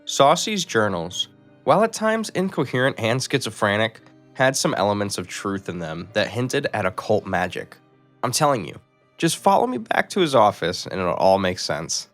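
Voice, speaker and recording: Guy playing a low blunt slangy cartoon pal, earnest, music under it. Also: sing-song, normal speed, fairly bright tone. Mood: earnest, insistent. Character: earnest, blunt, slangy male friend of the deceased.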